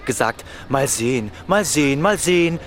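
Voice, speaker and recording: An actor playing a lovesick, sarcastic teenage schoolboy in a radio drama, emotional and halting, repeating words and swearing. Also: male voice, mid-range voice, expressive, slight background noise, lightly acted. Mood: bitter, hurt. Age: young adult.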